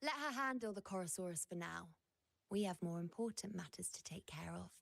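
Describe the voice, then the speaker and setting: A woman's voice: on the low side, expressive, fairly quick, creaky. A woman voicing a confident, shrewd and playful huntress in a video game, with a teasing, self-assured delivery. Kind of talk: scripted video game voice acting.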